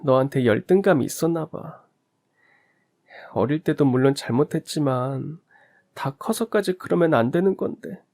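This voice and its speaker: A man's voice: a little high, expressive, normal speed, vocal fry, a little mellow, pitched above the performer's own voice. A man voicing a remorseful middle-aged woman, a former school bully, who gives a tearful, choked apology.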